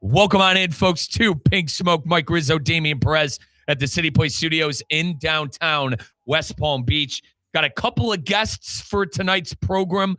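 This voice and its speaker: A man with an American accent hosts sports radio in an energetic style. His voice is bright and forceful, pitched a little high for a man, and recorded dry and close in a studio. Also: a little slow, no echo. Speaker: sports radio show host and play-by-play announcer.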